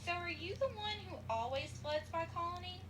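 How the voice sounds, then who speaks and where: Woman voicing an imperious ant queen, high-pitched, noisy recording. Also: animated, normal speed. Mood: indignant, commanding. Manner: commanding, formal. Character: imperious female ant queen, stern but gracious.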